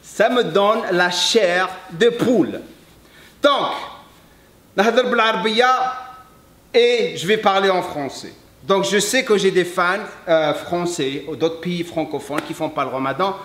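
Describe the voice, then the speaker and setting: A man, a French speaker, talking earnestly to camera as a fitness coach, emphatic and halting, slipping between French and Arabic. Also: high voice, fairly bright tone. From Algeria. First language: English. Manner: emphatic, halting non-native French with repeats. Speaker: fitness coach and video host. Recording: echoey room.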